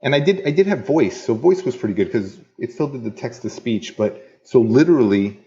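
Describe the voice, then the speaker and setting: A man's voice: medium pitch, animated, fairly quick, mellow, lo-fi sound. A man being interviewed on a podcast, telling his story with easy enthusiasm. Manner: enthusiastic, storytelling, laughs easily. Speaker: interview guest. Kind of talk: casual interview chat.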